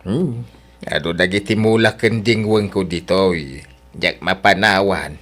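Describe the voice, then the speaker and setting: Deep-voiced mature man played by an actor. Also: unhurried, fairly bright tone. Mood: surprised, wary. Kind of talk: Filipino radio drama acting.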